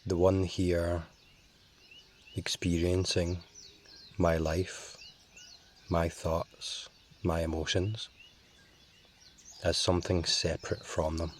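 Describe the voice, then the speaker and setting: A man guiding a meditation in a calm, measured way with long pauses. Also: low-pitched, a little hiss or hum. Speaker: meditation guide. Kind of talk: slow guided meditation.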